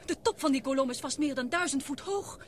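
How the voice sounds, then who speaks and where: A woman's voice: high voice, animated, brisk. An actress playing a young woman trapped after an accident in a radio drama, frightened and pleading. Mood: shaken. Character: Penny, young woman trapped under a branch. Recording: loud background noise.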